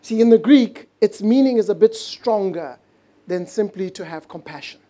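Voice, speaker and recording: A pastor preaching a sermon in earnest, drawing out key words slowly and dropping to a hush for emphasis. English is not his first language. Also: sits high, expressive.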